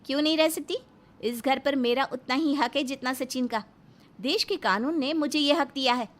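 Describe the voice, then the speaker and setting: A woman's voice: high-pitched, normal speed, voice pushed up, natural voice. A woman voicing assertive, indignant women of an Indian family in a dramatic moral story. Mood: angry, defiant.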